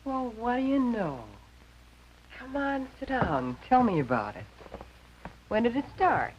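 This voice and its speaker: Attentive mother, middle-aged, American accent, very expressive pitch, homely, 1950s film, muffled soundtrack. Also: measured pace. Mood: tender, encouraging. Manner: encouraging, homely. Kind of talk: 1950s educational film acting. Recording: dull, faintly noisy 1950s film soundtrack.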